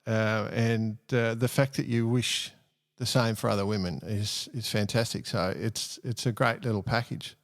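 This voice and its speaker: A man's voice: on the low side, steady tone, normal speed. A man hosting a sailing podcast, asking questions in a cheeky, joking and enthusiastic way. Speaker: podcast host. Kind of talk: casual podcast interview.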